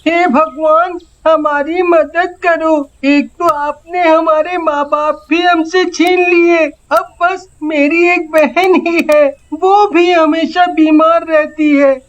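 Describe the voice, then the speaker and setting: A woman's voice: very high-pitched, steady tone, slow pace. A woman voicing a small, loving five-year-old village boy in a cartoon story, earnest and childlike, on dull audio. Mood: pleading.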